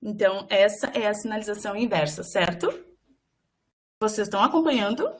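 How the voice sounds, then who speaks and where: Halting woman voicing a signed lecture. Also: medium pitch, animated. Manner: halting, with frequent fillers and restarts while interpreting. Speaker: sign language voice interpreter for a workshop. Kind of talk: live voice interpretation of a lecture.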